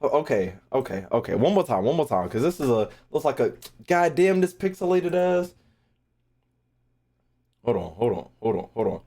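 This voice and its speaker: A woman's voice: sits low, mellow, breathy edge. A young adult woman reacting casually on a livestream, slangy and profane, often repeating phrases. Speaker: streamer reacting to game voice lines.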